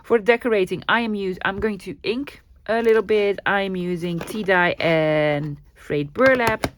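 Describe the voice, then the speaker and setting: A woman's voice: medium pitch, measured pace, a little hiss or hum. A woman from the Netherlands with a Dutch accent, chatting her way through a craft tutorial with plenty of ums and restarts. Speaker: craft channel host.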